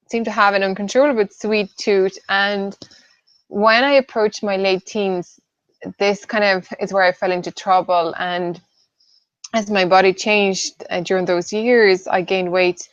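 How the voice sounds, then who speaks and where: A woman's voice: medium pitch, fairly even intonation, a little slow, clean tone, no echo. Woman from southern Sweden, hesitant and conversational. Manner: hesitant, many ums and "I suppose". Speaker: webinar co-host, nutritional therapist. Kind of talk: casual webinar presentation. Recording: dull, dry lo-fi audio.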